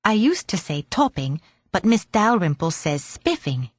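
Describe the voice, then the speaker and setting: A woman's voice: lowish voice, sing-song, unhurried, no room sound. A woman reading a storybook voices a polite, eager schoolgirl who is curious and well-mannered.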